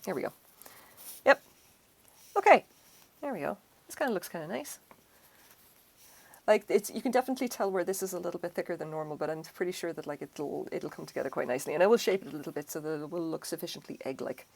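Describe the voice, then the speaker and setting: A woman's voice: on the low side, fast talker, vocal fry, pauses often. A middle-aged woman with an Irish accent, chatting casually and rambling while she crochets on a live stream. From Ireland. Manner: chatty, self-deprecating, rambling asides.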